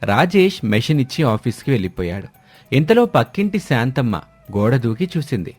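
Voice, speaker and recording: A man's voice: medium pitch, sing-song, brisk, a little mellow. A man narrating a scripted moral story as its storyteller. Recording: music behind the voice. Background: music.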